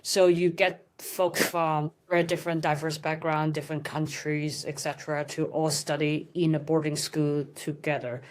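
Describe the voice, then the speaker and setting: Young woman, raised in Hong Kong, lowish voice, thoughtful conversational guest. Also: little pitch movement, normal speed, hardly any pauses. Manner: thoughtful, frequent fillers and restarts. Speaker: interview guest. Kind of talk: casual conversational interview.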